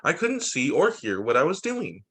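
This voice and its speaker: Guy teacher doing story time for kids, kinda high voice, really animated reading. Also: normal speed, husky. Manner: animated, explains and checks in with young listeners. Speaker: teacher reading a picture book to students. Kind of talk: expressive children's read-aloud with asides.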